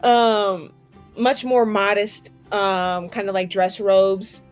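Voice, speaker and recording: A woman's voice: mid-range voice, level tone, slow, faint music behind. A woman playing a tabletop roleplaying game, chatting casually in a playful, informal way.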